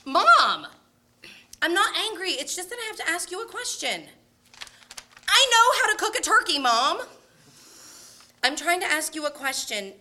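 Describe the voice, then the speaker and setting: A woman plays a flustered, forgetful mom in a Christmas radio skit. Her voice is high and bright, swinging up and down as she placates and frets. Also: very animated, normal speed, long pauses, slight background noise, full character voice. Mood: placating, flustered. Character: flustered, forgetful mother on a family road trip. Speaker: radio play actor.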